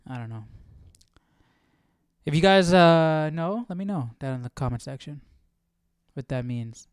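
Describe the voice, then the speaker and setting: A man with a smooth, clear voice and a very dry sound leads a casual live study session. He takes his time, reading passages aloud and puzzling over them with questions to himself. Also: fairly high pitch, animated, measured pace, no echo. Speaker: host of a live Bible study.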